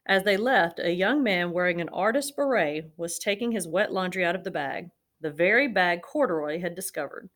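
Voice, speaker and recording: A woman's voice: on the low side, lively intonation, moderate pace, hardly any pauses, fairly bright tone. Woman reading a children's storybook. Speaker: storybook reader. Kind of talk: children's read-aloud narration.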